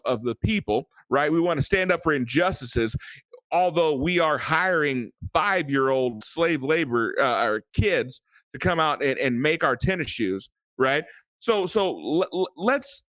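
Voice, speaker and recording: A man's voice: a little high, fairly bright tone, dry recording. A man ranting in a casual late-night chat, often repeating words as he builds his point.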